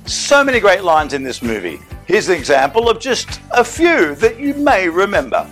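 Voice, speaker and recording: A man's voice: sits high, very animated, moderate pace, a bit bright, faint music behind. A man hosting a film-night special, chatty and enthusiastic, with playful asides. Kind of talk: casual upbeat presenting.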